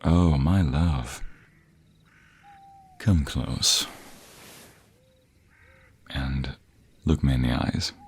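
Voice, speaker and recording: A man playing a devoted, protective vampire boyfriend in a romantic audio roleplay, husky and breathy, speaking in ornate old-fashioned phrases close to a binaural mic. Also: drawn-out words, long pauses, a little mellow, heavily acted. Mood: tender, intimate. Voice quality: low, husky, breathy, often dropping to a whisper. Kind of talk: romantic audio roleplay acting, addressing the listener intimately. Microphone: close binaural microphone.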